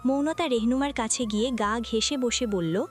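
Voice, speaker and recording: A woman's voice: on the high side, normal speed, faint music behind. A woman reading scripted narration for a romantic family audio story.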